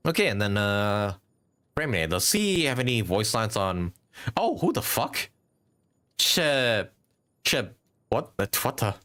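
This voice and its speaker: A man's voice: lowish voice, very animated, slow pace, long pauses, a bit bright. A man livestreaming a game with casual commentary, musing and thinking aloud. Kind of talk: casual live gaming commentary. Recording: game music behind.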